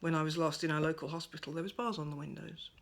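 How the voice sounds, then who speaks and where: A woman giving a gentle, thoughtful monologue at home by a window, her voice soft and at times close to a whisper. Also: low-pitched, steady tone, fast talker. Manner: soft-spoken, thoughtful, drifts into near-whispers. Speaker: pagan speaker sharing reflections. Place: at home by a window over a garden.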